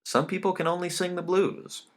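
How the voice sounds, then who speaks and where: A man's voice: sits high, little pitch movement, normal speed, fairly bright tone, voice pushed up. Man voicing a glib, chatty record label man. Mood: wry, breezy. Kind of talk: audiobook character dialogue.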